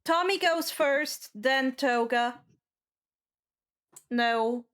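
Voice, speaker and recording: A woman's voice: high-pitched, very slow, fairly bright tone, smooth. A woman running a tabletop roleplaying game as game master, narrating scenes and giving rulings to the players.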